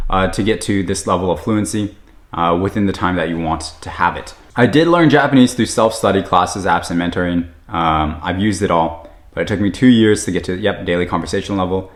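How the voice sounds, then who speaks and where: A man's voice: low voice, roomy sound. A man introducing a language course, talking loosely with frequent fillers and self-corrections. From United States. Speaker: language teacher.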